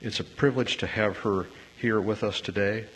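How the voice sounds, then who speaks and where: Man, master of ceremonies, formal introduction, steady announcing, lowish voice. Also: moderate pace, a little hiss or hum, low-quality recording.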